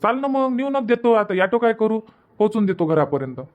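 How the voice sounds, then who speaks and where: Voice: mid-range voice, big pitch swings, a little fast, dull audio, lightly acted. A performer voicing a plain, obliging village husband in a cartoon comedy, with a Varhadi Marathi accent. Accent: Varhadi Marathi from Vidarbha.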